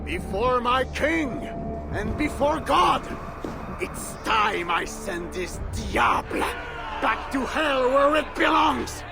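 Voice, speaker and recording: An actor playing a vengeful, fanatical bishop with a gravelly voice in a video game. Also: male voice, very high-pitched, lively intonation, slow, shouting, heavily acted. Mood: wrathful. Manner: righteous, menacing, declamatory. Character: vengeful fanatical bishop, zealous warrior of God. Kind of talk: dramatic video game acting. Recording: dramatic music behind the voice.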